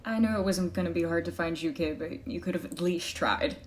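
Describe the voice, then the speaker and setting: A woman's voice: lowish voice, fairly quick, slight background noise, lightly acted. A woman playing a pessimistic, world-weary private detective in an audio drama, narrating and speaking in a dry, wry manner.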